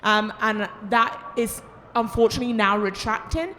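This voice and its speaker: A woman's voice: fairly high pitch, normal speed, bright, forceful tone, a little hiss or hum. A woman with a Barbadian accent arguing a point on a conference panel, earnest and emphatic. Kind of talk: panel debate answer. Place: large conference hall. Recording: echoey conference hall, faint crowd.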